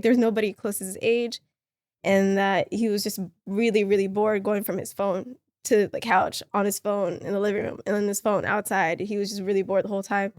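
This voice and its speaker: A young woman whose first language is Somali, chatting as a podcast guest in a soft, easygoing way. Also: medium pitch, dry recording, clean studio sound. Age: young adult. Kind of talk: casual podcast conversation.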